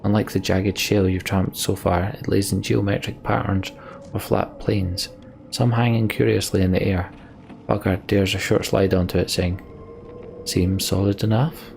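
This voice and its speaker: Deep gravelly man narrating a game. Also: flat delivery, normal speed, darkish tone, a little hiss or hum. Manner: theatrical, acts out the characters' lines. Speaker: let's play streamer. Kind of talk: dramatic reading of game story text, with asides. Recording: game music behind the voice. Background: game music.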